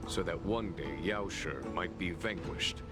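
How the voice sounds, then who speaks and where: An actor playing a composed, shrewd male general in a game, speaking in a measured, wry and commanding way. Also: low voice. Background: game music.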